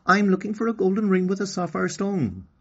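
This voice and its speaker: A man's voice: fairly quick, a bit bright, breathy edge, dull audio, exaggerated voice. A man voicing a brave, polite little village girl who speaks earnestly in a children's storybook. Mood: confident, questioning. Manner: earnest, high-pitched pleading. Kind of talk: children's storybook read-aloud.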